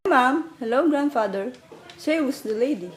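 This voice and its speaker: A woman's voice: high voice, animated, normal speed, over loud music. A woman playing a curious, polite young granddaughter in a radio play, questioning and respectful.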